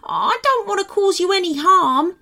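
A woman's voice: sits high, very animated, slow, a bit bright, voice pushed up, exaggerated voice. A woman voicing a polite, timid young boy in a children's story, sheepish and earnest. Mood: apologetic, sheepish. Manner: sheepish, pleading.

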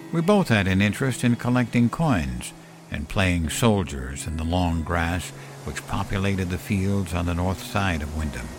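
A man narrating a scripted first-person story in a measured, solemn, reflective way, as on a fiction podcast. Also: deep voice, expressive. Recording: music behind the voice. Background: clear music bed.

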